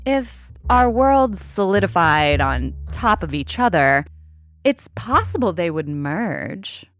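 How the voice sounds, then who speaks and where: A woman playing a guarded, wry scientist in love, in a measured, understated reading of story dialogue. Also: fairly low pitch, big pitch swings, faint music behind. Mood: wry, careful.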